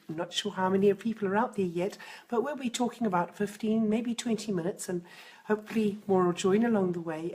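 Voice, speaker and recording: A middle-aged woman, a parenting coach, talking live to viewers on a stream, hoarse and croaky from a cold, chatty and reflective. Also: mid-range voice, mellow.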